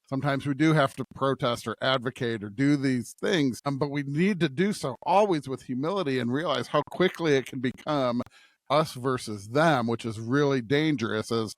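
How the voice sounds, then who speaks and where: A man's voice: mid-range voice, moderate pace. A man co-hosting a podcast, reflecting casually on scripture in an earnest way, repeating phrases for emphasis and checking in with his listener. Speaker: podcast co-host.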